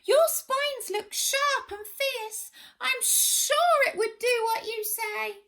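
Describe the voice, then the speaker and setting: A woman voicing a small, frightened male bush baby in a storybook, squeaky, stammering and wailing. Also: takes it slowly, fairly bright tone, heavily acted. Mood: begging.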